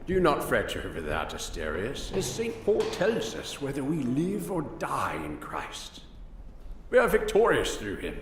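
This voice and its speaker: Man playing devout prisoner priest, calm, solemn, very expressive pitch, distant echoey noisy recording, audio drama. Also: unhurried. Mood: serene, consoling. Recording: distant echoey room with loud noise.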